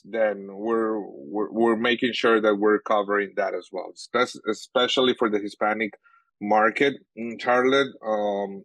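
A young Venezuelan man, a native Spanish speaker, answering interview questions about his sports journalism work, pausing often with fillers and restarts. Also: lowish voice, a bit bright, no room sound. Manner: halting, many fillers and restarts.

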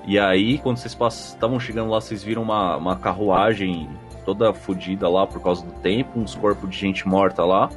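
A man's voice: low voice, fairly even intonation, brisk, hardly any pauses, faint music behind. A man with a Brazilian accent chatting casually as a podcast co-host, explaining things in a colloquial way. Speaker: podcast co-host and game master. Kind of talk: casual chat answering listener feedback.

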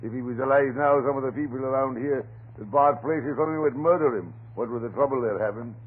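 An actor playing a chatty, stubborn off-duty police sergeant in an old-time radio drama, with a blustering, folksy way of talking. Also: male voice, medium pitch, fairly quick, slightly rough, lightly acted. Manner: blustering, garrulous, folksy turns of phrase. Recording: noisy, narrow-band vintage radio recording.

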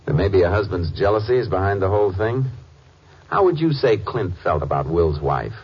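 Low, probing Texas lawman, vintage radio. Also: lively intonation, husky, exaggerated voice. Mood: thoughtful. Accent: American. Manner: measured, laconic, questioning. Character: steady, probing Texas Ranger investigator. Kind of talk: 1950s radio drama acting. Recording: muffled vintage radio recording.

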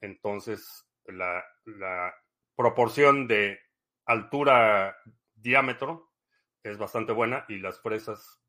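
A man, hosting a podcast, answering listener questions in a relaxed, rambling chat full of fillers. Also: on the low side, takes it slowly. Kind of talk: casual live-stream chat.

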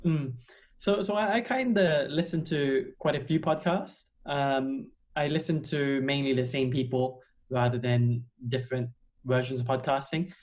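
A man's voice: mid-range voice, measured pace. A young man from Australia, a podcast guest, talking casually with plenty of ums and "kinda". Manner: relaxed, many ums and "kinda". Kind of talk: casual interview chat.

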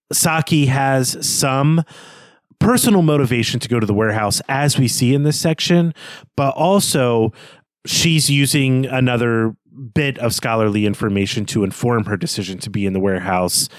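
A man speaks as a podcast co-host in a dry, close recording with little room sound. His pitch is mid-range, and he talks through fiction conversationally, with articulate, bookish phrasing. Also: dry recording. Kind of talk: conversational literary recap and discussion of a story.

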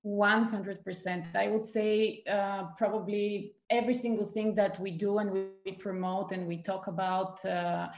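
A woman's voice: medium pitch, steady tone, a little slow, few pauses, echoey room, soft background music. A woman from Bulgaria, a guest in an interview, speaking thoughtfully with many fillers and restarts. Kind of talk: conversational interview.

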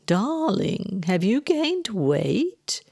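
A woman's voice: medium pitch, big pitch swings, drawn-out words, vocal fry, a little mellow, no echo, clean studio sound, voice pushed up, full character voice. A woman voicing a haughty, affected, wealthy socialite mother in a theatrical, swooning manner for a storybook. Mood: affected, condescending.

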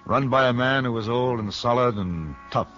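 An actor playing a cool, laconic gunfighter for hire in an American radio Western, measured, terse and firm. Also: male voice, mid-range voice, a bit bright, soft background music, natural voice. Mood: grave. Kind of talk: old radio Western acting and narration. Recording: muffled vintage radio broadcast.

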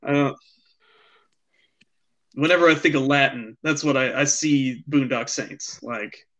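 Slow, halting young man chatting. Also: medium pitch, a bit bright. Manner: halting, many fillers and restarts. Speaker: podcast co-host. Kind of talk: casual chat.

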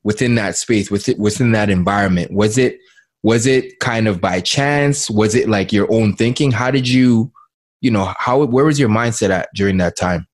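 A male podcast host with a fairly low voice leads an interview. He asks rambling questions and keeps circling back to repeat his words. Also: normal speed.

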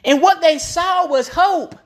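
A woman's voice: very high-pitched, unhurried. A woman preacher delivering an impassioned, off-the-cuff sermon, repeating phrases emphatically to build up her point.

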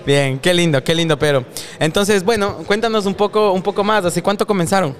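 A man's voice: high-pitched, brisk. A man hosting an interview, asking casual questions and reacting with short friendly comments. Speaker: interviewer, host.

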